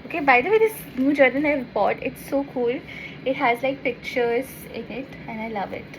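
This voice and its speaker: A woman's voice: sits high, normal speed, background noise. A young woman from Bengal with a Bengali accent, chatting casually to camera in a lifestyle vlog while showing journal pages. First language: Bangla. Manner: chatty, repeats "see" and "so yeah", shows pages as she talks. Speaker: lifestyle vlogger.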